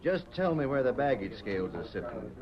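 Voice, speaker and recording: A firm, blunt stage line boss in a vintage radio western. He speaks deliberately in an American Western accent with a very lively range. The sound is muffled, with a crowd behind. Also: medium pitch. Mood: blunt, probing. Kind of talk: 1940s radio western acting.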